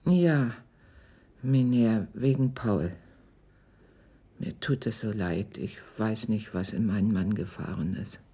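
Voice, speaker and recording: An actress playing an anxious, apologetic, hesitant housewife in a German radio crime drama. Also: female voice, rumbling low, takes it slowly, pauses often, dark tone, slightly rough, full character voice. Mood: worried, sorrowful.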